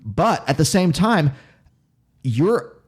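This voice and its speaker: A man with a Pennsylvania accent touched by New York, hosting a casual podcast conversation and thinking aloud in halting, self-interrupting phrases. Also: on the high side, very animated, a little mellow, slightly rough. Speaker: podcast host.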